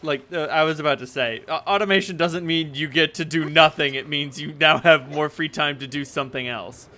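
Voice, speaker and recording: A man chatting casually while playing a game, laid-back, quick to laugh and apologize. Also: a little high, pushed and bright. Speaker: co-player. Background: game music.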